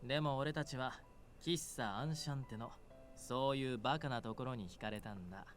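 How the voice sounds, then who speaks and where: Dramatic visual novel man, played by a male actor, fast, lively delivery over game music. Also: mid-range voice, close to an everyday voice. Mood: cool, composed. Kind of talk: Japanese visual novel voice acting.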